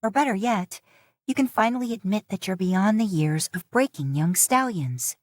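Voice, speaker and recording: A woman voicing a sharp, impatient middle-aged mother scolding her husband. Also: mid-range voice, lively intonation, normal speed, no room sound, studio quality, voice pushed up, close to an everyday voice.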